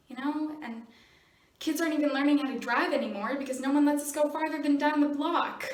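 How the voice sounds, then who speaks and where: A young American woman playing an earnest, halting teenage daughter pleading with her mother in a dramatic monologue. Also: sits high. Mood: frustrated, pleading. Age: teenager or young adult. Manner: halting, earnest, nervous laughs. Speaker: student actor. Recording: roomy echo, distant microphone.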